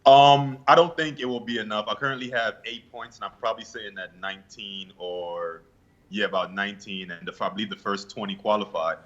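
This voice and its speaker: A young man from Guyana answering interview questions in a relaxed, laid-back way with hesitant fillers. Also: lowish voice, a little fast, dull audio. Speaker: interview guest, bodybuilder.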